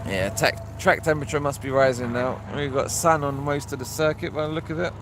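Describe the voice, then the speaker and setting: A man chatting casually outdoors at a race circuit, giving relaxed commentary on the cars and reading out viewer comments. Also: on the high side, a little fast. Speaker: vlogger commenting on practice session. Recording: loud outdoor traffic noise. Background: race cars passing.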